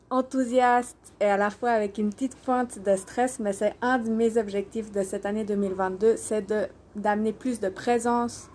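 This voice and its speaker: A woman's voice: mid-range voice, dark tone, slight background noise. A woman from Switzerland and Portugal introducing herself informally on a live stream, speaking hesitantly and searching for her words. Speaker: doula and alternative therapy practitioner hosting a live stream. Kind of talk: informal self-introduction on a social media live.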